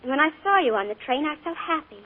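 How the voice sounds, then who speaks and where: A woman's voice: sits high, animated, slight background noise. An actress playing an earnest young woman who is afraid of her uncle, in a 1940s radio drama. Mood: uneasy. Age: young adult. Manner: halting, anxious. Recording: tinny 1940s radio broadcast.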